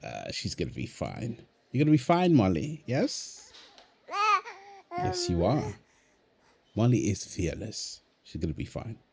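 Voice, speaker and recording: A man playing a playful, doting young husband and father in a soft, tender ASMR roleplay, teasing as he talks. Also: fairly low pitch, huge pitch swings, unhurried, gravelly, pauses often, full character voice. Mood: reassuring, affectionate. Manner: teasing, tender, chuckles often while talking. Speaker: audio roleplay performer. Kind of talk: ASMR boyfriend roleplay, one-sided dialogue.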